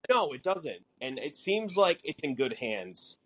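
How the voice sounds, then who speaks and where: This is a podcast co-host in relaxed fan discussion. The delivery is musing and questioning, with very animated pitch movement. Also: measured pace, breathy edge. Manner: musing, poses questions aloud.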